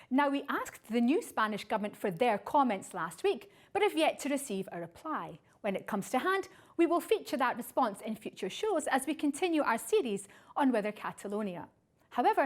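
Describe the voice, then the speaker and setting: A woman presenter with a high-pitched voice that moves up and down a great deal. She reads scripted links and introductions as the host of a talk show. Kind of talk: scripted presenting to camera, reading introductions and messages.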